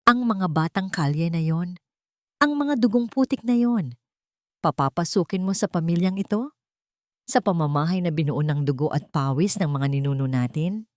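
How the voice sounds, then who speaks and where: A woman's voice: lowish voice, animated, normal speed, darkish tone, no echo, clean studio sound, lightly acted. Woman acting out a furious, haughty older matriarch. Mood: outraged. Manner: scornful, imperious, threatening.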